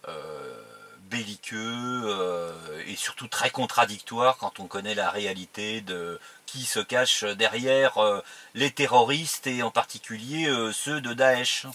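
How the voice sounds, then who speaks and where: A man hosting a political interview, speaking off the cuff and hesitantly, pausing between phrases. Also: on the low side, sharp tone. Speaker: interviewer and host.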